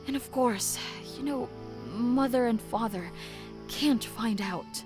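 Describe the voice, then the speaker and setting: A high-pitched young woman played by an actress in a fantasy audio drama. She is an anxious, protective elder sister who speaks earnestly and uneasily over clearly audible music. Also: slow pace.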